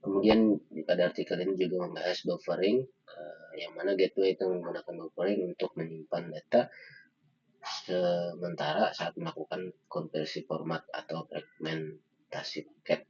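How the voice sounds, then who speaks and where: A young man giving a halting student slide presentation, pausing often on fillers. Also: sits low, level tone, darkish tone. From Indonesia. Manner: halting, with frequent filler pauses. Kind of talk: slide presentation of an article review. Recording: dull lo-fi microphone sound.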